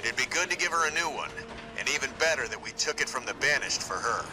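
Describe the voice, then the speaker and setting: An actor playing a determined male marine squad leader in a sci-fi war game, brisk and commanding. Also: mid-range voice, lively intonation, music in the background, natural voice, low-quality recording. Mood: satisfied, firm. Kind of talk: video game military acting.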